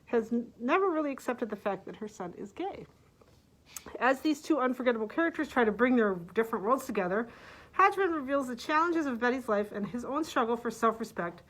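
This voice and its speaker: Higher-voiced woman librarian presenting books. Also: poor audio. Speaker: librarian presenting book picks. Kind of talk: book summaries read aloud with casual asides.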